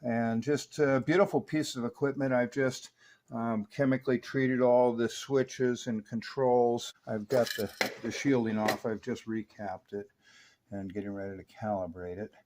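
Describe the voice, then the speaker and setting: A man's voice: medium pitch, fairly even intonation, unhurried, darkish tone, a little hiss or hum. A man giving an unscripted, hands-on technical demonstration of equipment, explaining each step methodically. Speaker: radio restorer demonstrating equipment.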